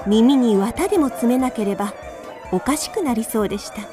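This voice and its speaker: A woman narrating a children's radio drama in a calm, even storytelling manner. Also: fairly high pitch, fairly quick, loud music behind the voice.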